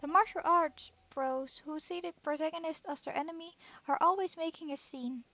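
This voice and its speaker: High-voiced woman streamer reading aloud. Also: normal speed, no room sound. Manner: steady reading with occasional word restarts. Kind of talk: reading game text aloud.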